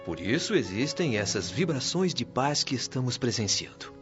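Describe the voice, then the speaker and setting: An actor playing a friendly, kindly spirit guide with a Brazilian accent and a genial, encouraging manner. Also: male voice, medium pitch, big pitch swings, moderate pace, lightly acted. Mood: serene explaining. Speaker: actor in a radio drama. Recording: dull lo-fi audio with music. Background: prominent music.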